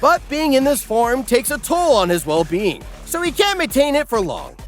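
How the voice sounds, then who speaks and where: A man's voice: very high-pitched, wildly swooping pitch, normal speed. A man narrating an anime parody recap as scripted comedy, mock-dramatic and wry. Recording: music behind the voice.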